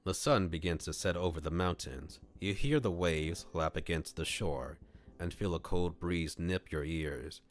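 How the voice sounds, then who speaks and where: A man streaming an expressive, playful read-aloud of a visual novel, narrating and acting out the dialogue. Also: low-pitched. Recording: music behind the voice. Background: game music.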